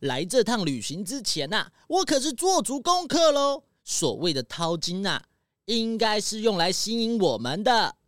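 A whiny know-it-all animal character played by a woman exclaims with wide swings of pitch. The sound is dry and close, in the style of a children's audio drama. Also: very animated, moderate pace, dry recording, close to an everyday voice.

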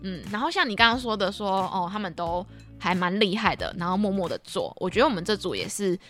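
Animated young Taiwanese woman chatting. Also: mid-range voice, quick pace, faint music behind. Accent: Taiwanese Mandarin. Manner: animated, acts out children's remarks. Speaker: podcast co-host and camp counselor. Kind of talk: casual chat, recounting stories.

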